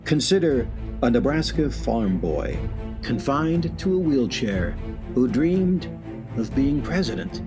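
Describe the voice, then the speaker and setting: A man playing a portentous radio drama announcer, narrating with ominous, philosophical weight and dramatic pauses. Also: mid-range voice, sing-song, over music. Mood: ominous, musing.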